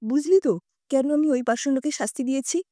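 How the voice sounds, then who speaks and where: A woman's voice: high-pitched, a little fast, dark tone, no echo, studio quality, close to an everyday voice. A woman voicing an older neighbourhood aunt in an audio story, stern and righteous, with a scolding, aggrieved, emphatic delivery. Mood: pained, righteous.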